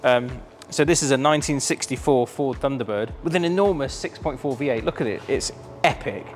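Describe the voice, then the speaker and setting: A man's voice: mid-range voice, a little fast, soft background music. A man, chatty and enthusiastic, presenting a car auction preview in a large warehouse showroom. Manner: enthusiastic, chatty, filled with ums.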